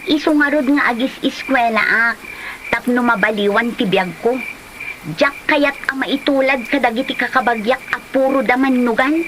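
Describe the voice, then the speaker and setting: A woman's voice: on the high side, moderate pace, natural voice. A woman acting as a stubborn young student daughter who refuses a match, in a homemade comedy drama. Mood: earnest. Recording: noisy, steady hiss behind.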